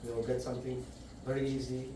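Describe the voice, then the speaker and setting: A man's voice: mid-range voice, completely flat delivery, noisy background. Man lecturing far off in an echoey room. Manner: halting, searching for words. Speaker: lecturer at a devotional program. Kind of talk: devotional scripture lecture. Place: indoor gathering room.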